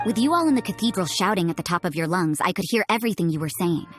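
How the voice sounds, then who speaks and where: A woman's voice: fairly low pitch, lively intonation, rapid-fire, faint music behind, lightly acted. A woman playing an aloof, irritated nun in a video game, dry and curt. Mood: dry, annoyed. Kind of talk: video game character acting.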